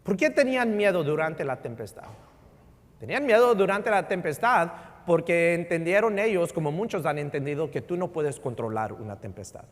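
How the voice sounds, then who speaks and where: A middle-aged pastor from Houston preaching a sermon, animated, with a Texan Spanish accent and English words mixed in. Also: male voice, a little high, normal speed. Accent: Texan Spanish with English code-switching. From Houston, Texas. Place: church sanctuary. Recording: church sanctuary reverb.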